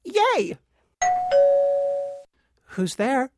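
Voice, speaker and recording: Woman playing a high-voiced, perky teen pop hopeful. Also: wildly swooping pitch, very slow, very noisy, full character voice. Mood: perky, naive. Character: naive, shy, perky teenage girl pop singer. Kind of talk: parody radio play.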